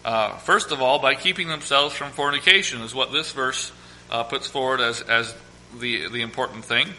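A man preaching a sermon as pastor, hoarse from a cold, reading scripture slowly and with emphasis. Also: medium pitch, pushed and bright, slight background noise, low-quality recording. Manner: reads scripture slowly and emphatically, asks congregation to quote verses.

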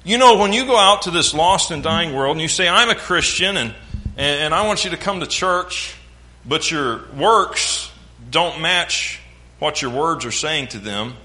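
A man's voice: fairly high pitch, animated, unhurried, bright, forceful tone, poor audio. A man from the American South with a Southern accent, preaching a sermon with folksy jokes and mimicked sounds.

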